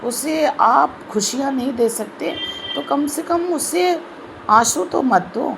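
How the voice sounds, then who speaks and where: A woman with a sore throat giving a slow, earnest devotional talk in an online video. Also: mid-range voice, noisy background. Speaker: spiritual video host.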